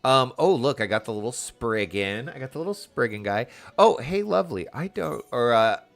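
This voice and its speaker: A man's voice: medium pitch, expressive, moderate pace, creaky, fairly bright tone. A man doing casual livestream game commentary, chatty and playful with sing-song asides. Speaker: game streamer.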